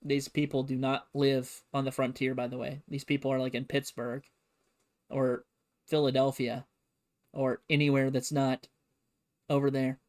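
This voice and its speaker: A man's voice: mid-range voice, level tone, measured pace, long pauses, a little mellow. A man streaming a casual, comedic history lesson, full of sarcastic and playful asides. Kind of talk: casual, comedic history lecture to a livestream audience.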